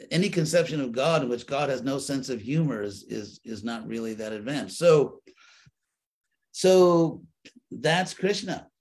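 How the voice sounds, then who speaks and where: A man of middle pitch leads a study group, answering questions at length. He thinks aloud, stalling and repeating words before landing on his point, and keeps a light touch of humor as he explains. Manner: thoughtful, restarts and repeats words while searching for phrasing. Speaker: spiritual teacher.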